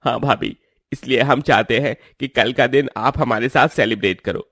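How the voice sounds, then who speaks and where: A man voicing a protective young brother-in-law in a cartoon, calm, gentle and reassuring. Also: medium pitch, moderate pace, slightly rough, dry recording, studio quality.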